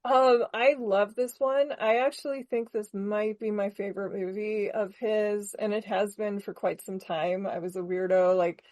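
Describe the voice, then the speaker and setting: A woman's voice: medium pitch, steady tone, normal speed, few pauses, darkish tone, dry recording. A woman in her forties hosting a film podcast, candid and chatty, laughing often. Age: 40s. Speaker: podcast host. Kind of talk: casual film podcast chat.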